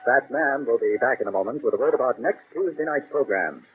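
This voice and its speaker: A man's voice: mid-range voice, expressive, a little fast. A man announcing in 1940s radio style. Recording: tinny old radio transcription, faint hiss.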